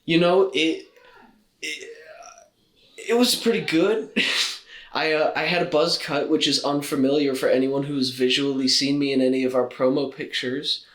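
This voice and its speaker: A man's voice: a little high, fairly even intonation, measured pace, fairly bright tone. A young man co-hosting a podcast in casual chat, with dry, self-mocking humor. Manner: dry, joking self-mockery. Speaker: podcast co-host. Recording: roomy, echoey room.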